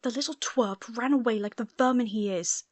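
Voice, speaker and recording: An irritable teenage schoolboy played by a woman in an audiobook, defiant and quick to snap. He talks with indignant sarcasm, in a close, dry recording. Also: a little high, darkish tone, no echo, lightly acted.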